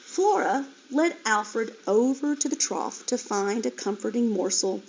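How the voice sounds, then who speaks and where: A woman's voice: on the high side, measured pace, slight background noise. A woman teacher reading a children's chapter book aloud with expression. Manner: expressive, animated. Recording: dull lo-fi recording.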